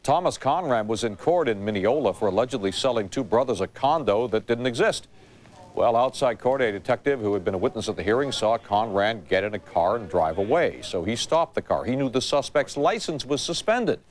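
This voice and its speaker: A man's voice: mid-range voice, lively intonation, a little fast. A man reading scripted news as a television anchor. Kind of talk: scripted newsreading.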